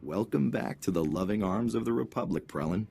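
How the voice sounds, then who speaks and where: An actor playing an easygoing, teasing intelligence agent in a video game, with a gravelly, husky voice. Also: male voice, on the low side, animated, fairly quick, darkish tone, full character voice. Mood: warm, teasing.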